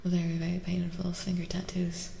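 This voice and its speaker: A soft-spoken woman hosting a casual ASMR livestream, playful and unhurried, often slipping into a breathy whisper as she chats with viewers. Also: on the low side, monotone. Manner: casual, playful, reacting to chat. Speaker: livestream host. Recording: roomy echo, faint background noise.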